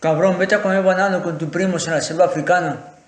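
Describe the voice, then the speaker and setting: A man playing a fast-talking insolent suspect. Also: high voice, steady tone, natural voice. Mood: mocking. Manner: mocking, rapid insults. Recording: echoey film room with music.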